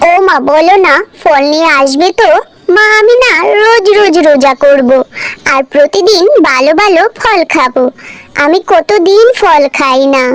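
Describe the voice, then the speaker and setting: An adult putting on a naive little boy, very high. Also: animated, measured pace, fairly bright tone, no room sound, heavily acted. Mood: eager, pleading. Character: naive poor hungry little boy, eager and pleading. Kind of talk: children's cartoon story dialogue.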